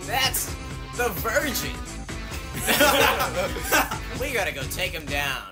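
A man's voice: high-pitched, sing-song, very slow, full character voice. A man doing a cocky joking hedgehog hero. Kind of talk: comedic fan dub acting. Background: game music.

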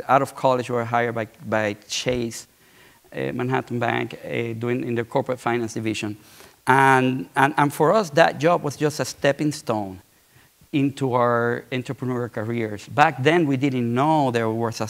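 A man's voice: mid-range voice, normal speed, vocal fry. A man in his forties from Puerto Rico, speaking as a guest on a conference stage, talking conversationally with many restarts and repeated words. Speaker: guest entrepreneur. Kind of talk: conference fireside chat. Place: large auditorium stage.

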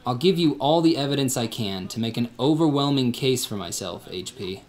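A man acting in a church radio play, calm and gently teasing. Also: mid-range voice, brisk.

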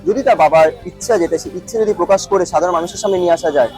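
A male reporter speaks in a high voice at a fast clip. He delivers a field news report with emphatic, repetitive explanations over music. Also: darkish tone.

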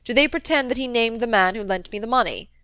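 Resentful young gentleman, stiff and proper, dry close sound, read by a woman. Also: fairly high pitch, quick pace, no room sound, voice pushed up. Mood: sulky. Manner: stiffly polite, subdued. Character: sulky polite young gentleman.

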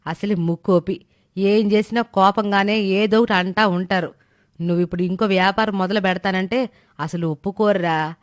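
A woman voicing a stern but soft-hearted older village mother in a moral story, scolding in colloquial rustic speech. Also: medium pitch, steady tone, normal speed, close to an everyday voice. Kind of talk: animated moral story dialogue.

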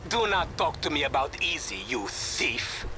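A man's voice: on the high side, very animated, measured pace. Smarmy aged car salesman, oily, theatrical, game dialogue, ambient noise. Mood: sarcastic. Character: smarmy, scheming middle-aged car dealer boss.

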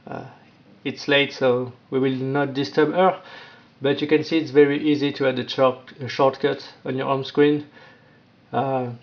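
A man presenting an informal step-by-step app tutorial, with halting, non-native phrasing. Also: mid-range voice, level tone. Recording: dull, lo-fi sound.

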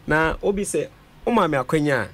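A man's voice: fairly high pitch, expressive, fast talker, a crowd in the background. A young Ghanaian man speaking as a parliamentary aspirant in a radio studio interview, mixing Twi with English and reeling off vote figures at a studio mic. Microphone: studio mic on a boom.

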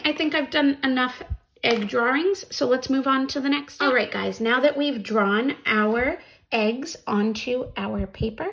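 A woman in her thirties, speaking deliberately as a teacher presenting read-alouds and crafts for children, recorded in a small room at home. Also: on the high side, creaky, poor audio.

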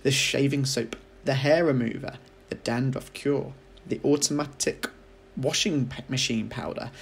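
A man, a teacher reading a children's audiobook aloud as an expressive storyteller. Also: medium pitch, a little slow, creaky, husky.

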